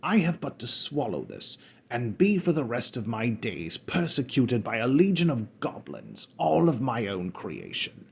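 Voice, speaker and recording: A man's voice: medium pitch, big pitch swings, unhurried, creaky, darkish tone, husky, exaggerated voice. A man doing a trembling old miser. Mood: pleading. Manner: pleading and trembling. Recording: echoey room.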